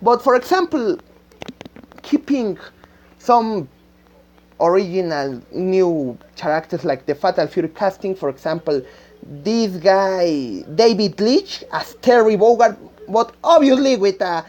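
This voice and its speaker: A woman who is a native Spanish speaker, talking off the cuff with a Spanish-speaking accent, haltingly searching for words and repeating phrases. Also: mid-range voice, lively intonation, a little mellow. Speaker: amateur game creator. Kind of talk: unscripted rambling vlog talk. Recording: dull lo-fi microphone sound.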